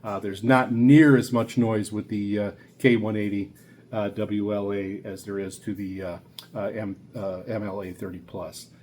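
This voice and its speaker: A middle-aged man giving a casual hobbyist review, talking in a halting, rambling, hesitant way. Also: lowish voice, flat delivery, a little mellow, a little hiss or hum. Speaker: radio hobbyist video host. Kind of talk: casual product review talk. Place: small home radio room, indoors.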